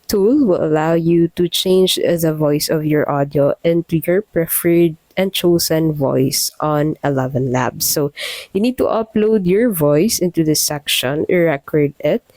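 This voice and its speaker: A woman with a low, dark and relaxed voice presents a software tutorial. She explains each step in a plain, instructional manner, and the recording is very dry with no room sound. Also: no room sound.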